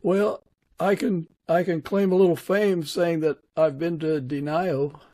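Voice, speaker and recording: A man's voice: on the high side, fairly even intonation, measured pace, dark tone. A man hosting a podcast, moving between scripted introduction and friendly interview talk. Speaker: podcast host.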